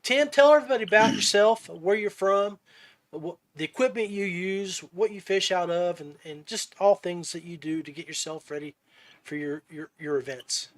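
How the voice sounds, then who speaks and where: A man hosting a podcast in casual interview chat, halting and often repeating words as he goes. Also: high voice. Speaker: podcast host.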